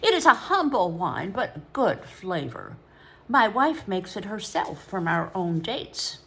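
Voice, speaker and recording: A woman's voice: mid-range voice, slow, exaggerated voice. A woman voicing a servile, grinning male porter with a Babylonian accent and a reedy, sibilant voice. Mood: wheedling. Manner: oily, obsequious flattery.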